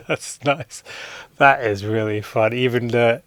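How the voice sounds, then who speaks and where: Slow-talking man giving a casual gear demo. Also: medium pitch, no echo, faint music behind. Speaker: host demonstrating audio gear.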